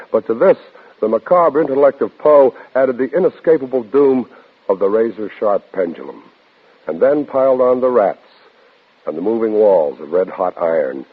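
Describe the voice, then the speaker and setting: A man with a low, husky voice introduces an old radio thriller. His delivery is deliberate, dramatic and ominous, heard through a thin, band-limited recording. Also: phone-quality sound. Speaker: program host introducing the story. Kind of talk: scripted 1950s radio introduction.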